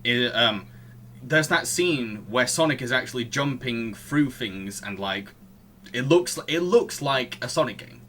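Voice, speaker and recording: A man with a bright, forward voice discusses films casually as a podcast host. He is analytical and a little halting, with faint noise behind him. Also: medium pitch, animated.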